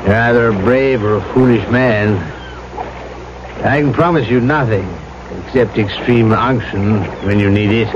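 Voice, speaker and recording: An actor playing a stern, world-weary missionary priest with a formal, dry manner in an old-time radio drama. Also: male voice, lowish voice, measured pace, close to an everyday voice. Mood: stern, grave. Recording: muffled, noisy old radio broadcast.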